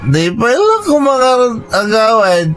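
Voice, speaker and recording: A man's voice: extremely high pitch, very animated, slow, a bit bright, soft background music, exaggerated voice. A man playing an irritable, irresponsible husband and father in an audio drama, grumbling and dismissive. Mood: defensive, grumbling. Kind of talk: Ilocano audio drama acting.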